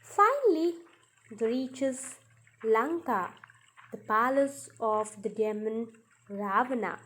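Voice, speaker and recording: A woman narrating a children's story from a script, in deliberate, halting phrases with pauses. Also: a little high, animated, fairly bright tone, low-quality recording. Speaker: storyteller narrating a puppet show.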